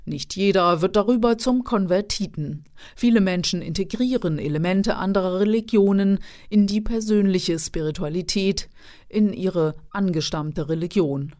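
Mellow-voiced female radio reporter. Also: medium pitch. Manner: even, informative. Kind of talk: scripted radio narration.

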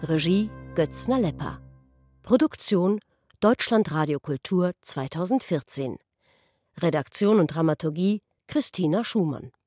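A woman's voice: lowish voice, animated, measured pace, warm tone, faint music behind. A woman announcer reading out scripted closing credits for a radio play.

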